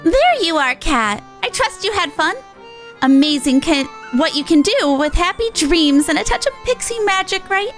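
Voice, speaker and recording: A woman's voice: extremely high pitch, big pitch swings, moderate pace, faint music behind, pitched above the performer's own voice. A woman voicing a mischievous little video game pixie in a playful, teasing, sing-song manner.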